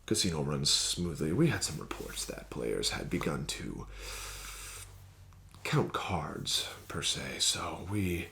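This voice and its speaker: A man doing an ASMR roleplay as a casino dealer, formal and courteous, slipping into soft whispers. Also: extremely low pitch, very animated, slow pace, creaky, slight background noise.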